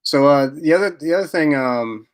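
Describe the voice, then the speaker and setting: A man's voice: fairly high pitch, animated, normal speed. A man hosting a live online interview, friendly and hesitant, often restarting his sentences. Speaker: interview host.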